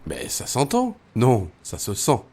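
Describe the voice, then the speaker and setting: A man acting as a calm, reassuring mentor in an audio drama, encouraging and instructive. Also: medium pitch, takes it slowly, breathy edge, full character voice. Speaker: actor in an amateur audio fiction.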